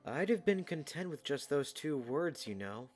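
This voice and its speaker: A man's voice: fairly high pitch, sing-song, moderate pace, darkish tone, soft background music. A man playing an earnest, protective young warrior in an audio drama, plain-spoken and given to short sentences. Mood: gentle teasing.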